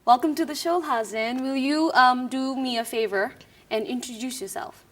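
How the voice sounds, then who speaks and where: Upbeat high-voiced woman host. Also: fairly bright tone. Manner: brisk, upbeat, punctuates with short acknowledgements. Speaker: TV parenting show host. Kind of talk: quiz-style interview.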